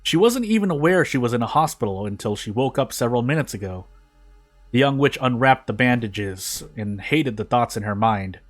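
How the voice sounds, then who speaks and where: A man's voice: medium pitch, animated, moderate pace. A man informally reading a story aloud, now and then stumbling and rereading phrases. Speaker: fan fiction reader on a gaming video.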